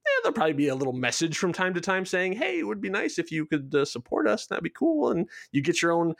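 A man's voice: high-pitched, wildly swooping pitch, fast, creaky, dry recording, studio quality. A man speaking as a show creator in a casual promotional chat, rambling along in a jokey, self-deprecating way. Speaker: show creator being interviewed.

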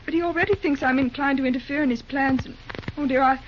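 A woman's voice: sits high, fast talker, natural voice. A woman acting an earnest, anxious young office worker in an old-time radio drama, speaking hesitantly. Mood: worried. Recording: muffled, noisy old radio recording.

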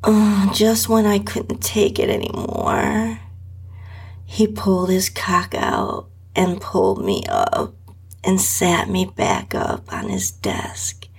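A woman narrating an intimate first-person adult story in a breathy, sultry voice that often sinks close to a whisper. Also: fairly low pitch. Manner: slow, sultry, drawn-out delivery. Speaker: podcast host and story narrator.

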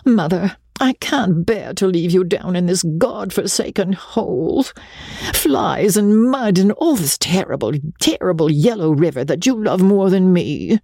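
A woman's voice: fairly low pitch, animated, measured pace, warm tone, slightly rough, no room sound, clean studio sound, voice pushed up, heavily acted. A woman reading a story voices a tearful, emotional young daughter pleading with her mother.

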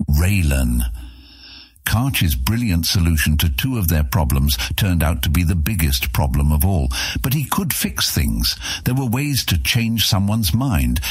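Deep, husky male fantasy narrator. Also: dry recording, studio quality. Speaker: audiobook narrator. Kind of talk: dramatic scripted fantasy narration.

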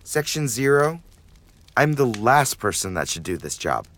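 An actor playing a dissolute, defiant younger brother of a ruler in a fantasy audio drama, sullen and argumentative. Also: male voice, mid-range voice, big pitch swings, measured pace, a bit bright. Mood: indignant, defiant.

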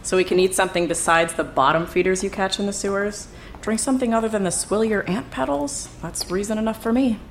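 An actress playing a blunt, mercenary debt collector in an audio drama, businesslike and needling. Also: female voice, medium pitch, brisk, slight background noise, close to an everyday voice. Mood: cynical, dry.

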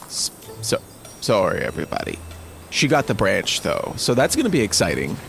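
A man's voice: fairly low pitch, huge pitch swings, moderate pace, creaky, fairly bright tone, a little hiss or hum, full character voice. A man playing a snarky reality survival show host, narrating with wry, mocking play-by-play. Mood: mocking commentary.

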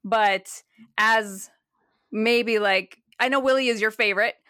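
A woman's voice: fairly high pitch, measured pace, sharp tone, smooth, no echo, studio quality. A woman co-hosting a baseball fan podcast, chatting casually in a frank, matter-of-fact way. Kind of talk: casual chat.

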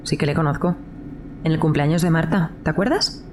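Actress plays sarcastic young woman, Castilian accent, lowish voice, skeptical dinner guest in audio drama, noisy live recording. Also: fairly quick, darkish tone, close to an everyday voice. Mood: dismissive. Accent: Castilian Spanish. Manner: dry, sarcastic. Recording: noticeable background noise, live recording.

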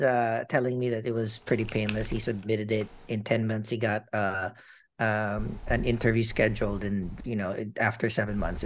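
A man giving personal updates in a casual chat, rambling and halting with many fillers and casual swearing. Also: medium pitch, gravelly, a little hiss or hum.

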